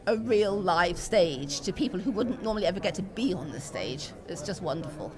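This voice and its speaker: A woman's voice: fairly low pitch, animated, normal speed. Emphatic British woman, busy crowd behind. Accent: British English. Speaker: interview guest, pantomime enthusiast and parent. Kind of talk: conversational podcast interview. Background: chatter of people at a venue.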